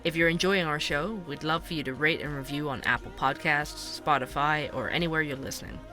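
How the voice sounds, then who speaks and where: A man's voice: a little high, fairly even intonation, fairly quick, sharp tone, soft background music. A man reading scripted end credits for a podcast as its announcer.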